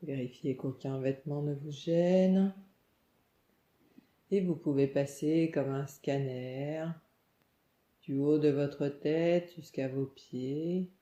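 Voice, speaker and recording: A woman leads a guided relaxation meditation, calm and soothing, giving gentle instructions in short phrases with pauses. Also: sits low. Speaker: yoga nidra meditation guide. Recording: muffled, echoey room sound.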